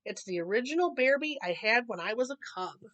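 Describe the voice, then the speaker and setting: Woman voicing elderly lady bear, kindly neighbor, gentle, chatty, animated storybook delivery, dry recording. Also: fairly high pitch, a little fast, no echo. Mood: matter-of-fact.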